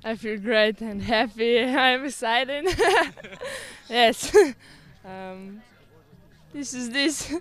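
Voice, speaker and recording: A teenage young woman with a Slovenian accent, halting and giggly as she answers an athlete interview outdoors. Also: a little high. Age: teens. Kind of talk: unscripted interview. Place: outdoors at a snowy ski venue. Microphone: handheld reporter microphone.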